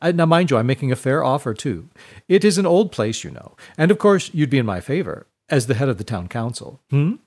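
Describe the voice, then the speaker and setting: A man's voice: mid-range voice, big pitch swings, brisk, a little mellow. A man voicing a pompous, oily village council head in an audiobook, fawning and wheedling with little questioning hums. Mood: smug wheedling. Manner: fawning, purring, punctuated with questioning "hmm?".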